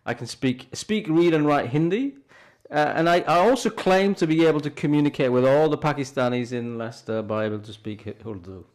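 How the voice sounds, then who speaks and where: A middle-aged man, a hospital consultant, explaining with measured good humour in a radio interview. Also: fairly high pitch. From born in London, grew up in North India. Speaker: hospital consultant doctor, guest.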